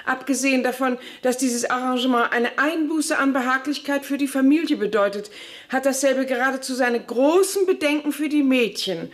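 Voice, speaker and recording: An actress playing a prim bourgeois lady giving a formal, measured lecture in a radio play. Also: sits high, natural voice. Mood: prim, lecturing.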